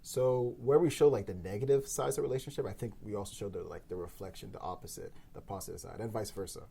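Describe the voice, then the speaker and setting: Low gravelly-voiced man, easygoing. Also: quick pace, mellow. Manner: thoughtful, rambling with many fillers. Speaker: podcast co-creator. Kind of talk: casual round-table discussion.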